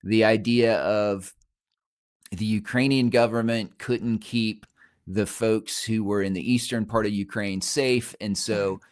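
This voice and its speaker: A middle-aged man speaks as an author guest on a podcast, his voice on the low side. He explains at length, thinking aloud with fillers and restarts. The recording is dry and close. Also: steady tone, unhurried, no echo. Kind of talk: casual interview chat.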